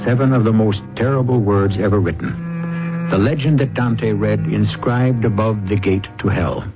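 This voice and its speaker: A man's voice: fairly low pitch, normal speed, close to an everyday voice. A man performing as the grave, theatrical host and narrator of a radio mystery drama. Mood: grave, ominous. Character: grave storyteller host of eerie mystery tales. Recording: muffled old radio broadcast, music underneath.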